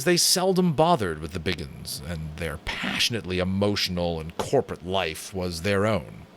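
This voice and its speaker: A man giving a dramatic audiobook-style reading of a novel, with expressive emphasis and the occasional self-correction. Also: on the low side. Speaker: narrator.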